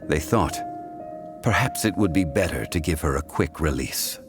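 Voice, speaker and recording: A man voicing an ancient, wise god in human form, speaking in a calm, formal, measured way in a game cutscene. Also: extremely low pitch. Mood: solemn. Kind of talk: video game voice acting. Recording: game cutscene with loud music.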